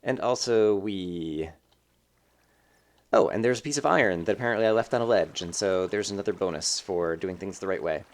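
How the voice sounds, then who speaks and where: Let's play host, man, lowish voice, slightly stuffy nose, relaxed meandering commentary with fillers. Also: normal speed. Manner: rambling, thinking aloud with many fillers. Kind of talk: casual gameplay commentary.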